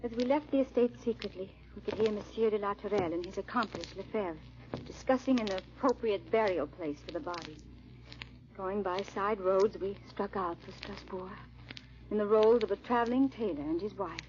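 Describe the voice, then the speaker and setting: A woman's voice: mid-range voice, moderate pace, darkish tone, natural voice. An actress playing a frightened young noblewoman on the run, who also narrates her tale, in melodramatic, formal 1940s radio drama style. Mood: grave narrating. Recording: muffled vintage radio recording.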